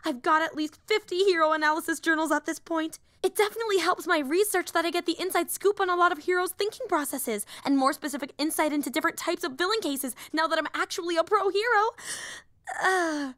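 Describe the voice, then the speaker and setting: Woman doing nerdy young hero girl for audio roleplay, high voice, flustered n rambly, super earnest, really dry recording. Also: a little fast, fairly bright tone, no room sound. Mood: earnest, enthusiastic. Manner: rambling, earnest, apologetic, self-interrupting. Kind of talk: roleplay audio drama acting.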